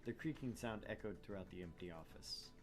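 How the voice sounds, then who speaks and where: A man's voice: on the low side, lively intonation, brisk, over music. A man narrating a visual novel as a gaming host, with irreverent asides.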